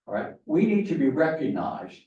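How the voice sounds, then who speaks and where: A man's voice: on the high side, big pitch swings, normal speed, mellow. A man giving a rambling conference talk to an audience, with many fillers and restarts. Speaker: conference speaker. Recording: distant echoey hall, dull sound.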